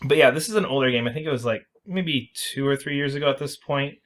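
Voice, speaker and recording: A man's voice: medium pitch, fast, a bit bright. A man hosting a casual podcast, explaining and reviewing video games in an informal, emphatic way. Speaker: podcast host.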